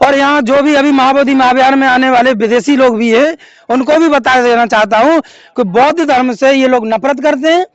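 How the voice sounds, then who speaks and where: A man's voice: very high-pitched, fairly even intonation, moderate pace, no room sound, muffled recording. A man giving an earnest, explanatory spoken account in a news interview, often retelling what was said to him as a protest participant.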